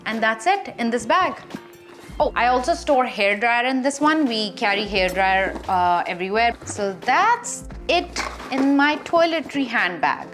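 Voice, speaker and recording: A woman's voice: on the high side, expressive, a little slow, fairly bright tone, faint music behind. A woman vlogger talking casually through her packed bags, listing items one by one with small fillers along the way. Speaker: vlogger showing her packing. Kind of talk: casual show-and-tell.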